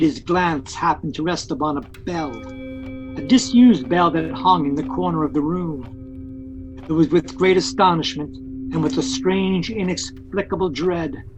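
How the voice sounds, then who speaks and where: An actor as the narrator of an audio play, telling the tale in a dramatic scripted storytelling style. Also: male voice, a little high, poor audio. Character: male storyteller of a Christmas ghost tale.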